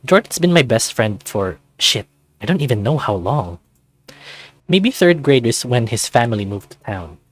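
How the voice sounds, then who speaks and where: A man's voice: medium pitch, sing-song, normal speed. A man reading scripted first-person narration from a visual novel.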